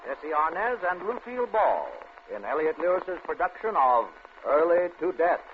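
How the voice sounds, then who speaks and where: A man's voice: on the high side, sing-song, normal speed, a little hiss or hum. Tinny vintage American male radio announcer. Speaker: program announcer. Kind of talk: 1940s radio show introduction, dramatic. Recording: tinny old radio, faint music behind.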